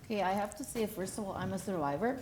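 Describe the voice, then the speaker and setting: A woman's voice: medium pitch, fast talker, a little mellow, slight background noise. A woman introducing herself directly and emphatically on a panel stage. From Bosnia. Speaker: panelist, teacher. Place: stage in a museum hall.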